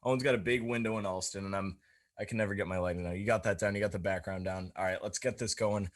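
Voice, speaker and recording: A man's voice: low voice, fairly even intonation, quick pace. A man co-hosting a podcast, talking in a casual, rambling, informal way. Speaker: podcast co-host.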